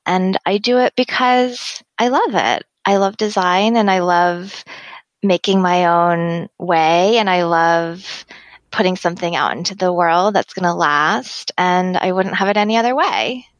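Middle-aged American woman, interview guest, slightly low voice, conversational, very dry recording. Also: no room sound. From Massachusetts, United States. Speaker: interview guest, design gallery owner. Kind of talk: casual personal interview.

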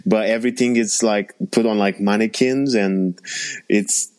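A man's voice: fairly low pitch, fairly even intonation, a little slow, a little mellow, clean tone, rough recording. A man from Romania, a podcast host chatting casually in a rambling way with many restarts. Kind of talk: casual chat.

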